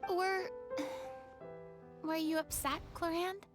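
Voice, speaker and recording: A woman's voice: extremely high pitch, steady tone, slow pace, over music. A woman playing an inquisitive, chatty young woman in a fantasy video game. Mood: puzzled, concerned.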